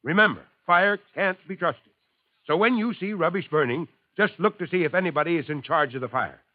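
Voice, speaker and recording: An actor playing a calm, kindly, middle-aged fire chief in a 1950s radio drama. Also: male voice, a little high, fairly quick, no echo, close to an everyday voice. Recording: tinny old radio broadcast.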